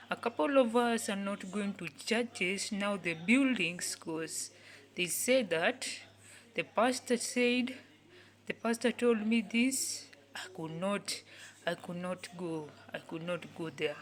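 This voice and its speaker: A young woman in her twenties speaks slowly and earnestly, saying each phrase again and again as if pleading with her listeners. Her voice sits in a medium range, and now and then it sinks to a hushed whisper. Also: mid-range voice, animated. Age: 20s. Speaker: youth speaker. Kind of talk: repetitive motivational talk.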